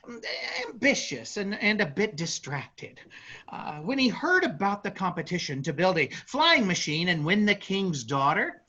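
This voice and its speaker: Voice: medium pitch, sharp tone, muffled recording, exaggerated voice. A performer playing a chatty narrator telling a folk tale in a staged reading, with conversational asides. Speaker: narrator of a play. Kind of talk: theatrical storytelling in a staged reading.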